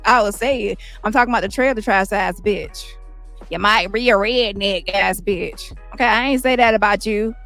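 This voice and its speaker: Woman, squeaky high voice, profane roasting rant, dry close sound, faint music underneath. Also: gravelly, fairly bright tone, no echo. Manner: profane, insulting, fast roasting rants. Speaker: commentary streamer. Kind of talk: ranting commentary.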